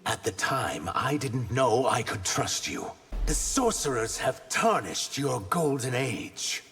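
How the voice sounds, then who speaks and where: A man's voice: mid-range voice, sing-song, slow, a bit bright, soft background music, exaggerated voice. A man playing an earnest, vengeful reptilian warrior in a video game, speaking with intense, dramatic defiance. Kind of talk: video game character dialogue.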